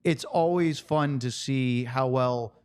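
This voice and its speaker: A man's voice: mid-range voice, slow, darkish tone, a little hiss or hum. A man hosting a sports podcast, talking in a casual, bantering style. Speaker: podcast host.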